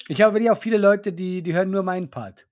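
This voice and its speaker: A man's voice: high voice, fast, a little mellow. A man co-hosting a podcast in casual chat, hesitant, with an up-and-down way of speaking. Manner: hesitant, restarts, up-and-down intonation. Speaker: podcast co-host. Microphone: studio microphone.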